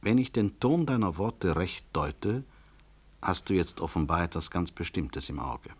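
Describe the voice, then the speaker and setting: An upright, unyielding medical professor in a 1960s radio drama. His low, husky voice is animated yet measured. He speaks with dry, pointed irony. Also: heavily acted. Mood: dry, ironic. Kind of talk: 1960s German radio play acting.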